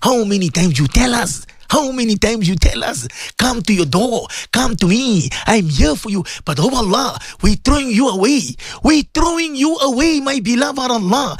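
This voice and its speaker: A man's voice: very high voice, animated, normal speed, no room sound. A South African man preaching an impassioned supplication, pleading and emotional, repeating his phrases. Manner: pleading, emotional, repetitive, often rising to a cry. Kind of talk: impassioned religious supplication sermon.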